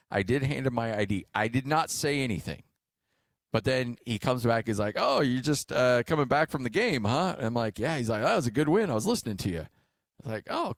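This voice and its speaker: A man's voice: medium pitch, no echo, clean studio sound. An American man hosting sports talk radio, bantering casually and repeating phrases for emphasis. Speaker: sports radio host and play-by-play announcer.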